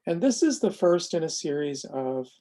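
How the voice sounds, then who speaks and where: Mellow, measured presenter walking through a demo. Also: medium pitch, big pitch swings. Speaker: presenter demonstrating a software tool. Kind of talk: semi-scripted explanatory demo narration.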